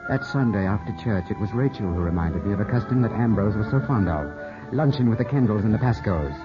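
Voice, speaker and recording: An actor playing a proud, suspicious young country gentleman in a 1950s radio drama, speaking in a clipped, formal, brooding way. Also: on the low side, lively intonation, brisk, natural voice. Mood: brooding narration. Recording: muffled 1950s radio recording, loud music.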